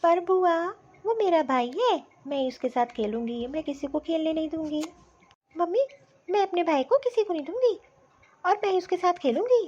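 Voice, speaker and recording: A woman voicing a possessive, stubborn little girl who speaks insistently in an animated family drama, on a muffled recording with music. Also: very high-pitched, normal speed, a little hiss or hum. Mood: possessive defiance. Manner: bratty, insistent. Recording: muffled lo-fi sound, music behind.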